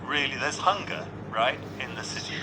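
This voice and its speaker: Man, news presenter, mid-pitched voice, probing and measured, noisy background. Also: expressive. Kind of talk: radio news interview.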